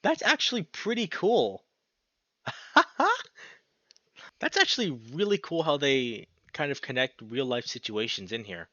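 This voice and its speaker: Animated man reading a visual novel aloud. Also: mid-range voice, slow pace, pauses often, no room sound, lo-fi sound. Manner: animated, sweary, slangy interjections. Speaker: let's player narrating and reacting to a visual novel. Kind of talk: expressive read-aloud narration with casual reactions.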